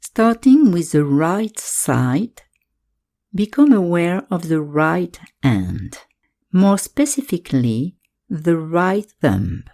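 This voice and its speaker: A woman guiding a yoga nidra meditation in calm, measured instructions, leaving pauses between phrases. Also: on the low side. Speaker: meditation guide.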